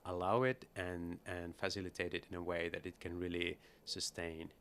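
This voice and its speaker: A middle-aged man from Finland, a startup founder talking casually as an interview guest at a conference, relaxed and easygoing. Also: low-pitched, moderate pace, slight background noise. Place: busy conference hall.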